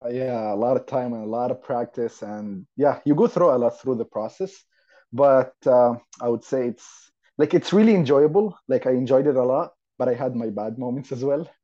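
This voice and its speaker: A man's voice: mid-range voice, normal speed, dark tone. A man of twenty-two from Saudi Arabia with an Arabic accent, telling his own story casually and informally as a podcast guest. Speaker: podcast guest, university student. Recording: slightly dull lo-fi audio.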